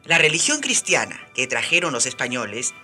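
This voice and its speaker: A woman hosting a radio programme in a scripted, projected, animated presenting style. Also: rumbling low, a little fast. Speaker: radio host. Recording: music behind the voice. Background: music.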